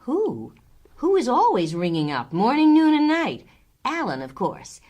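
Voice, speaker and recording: An actress playing an exasperated, sceptical wife who chides and mocks sarcastically in a naturalistic radio drama. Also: female voice, fairly high pitch, wildly swooping pitch, unhurried, darkish tone, full character voice. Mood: exasperated, sarcastic. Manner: sarcastic, chiding.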